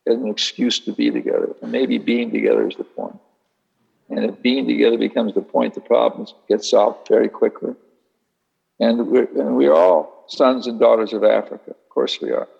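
An unhurried man muses aloud to a gathered audience in a hall, with dry humor. His voice is deeply gravelly and breathy-husky. The recording sounds dull and lo-fi. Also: a little mellow. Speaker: speaker leading a Q&A session. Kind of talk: reflective talk answering an audience.

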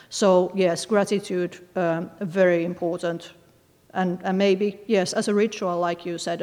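A woman author from Finland answering audience questions, speaking thoughtfully with frequent pauses and fillers. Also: on the low side, a little mellow, in a large hall. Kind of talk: discussing her book with an audience.

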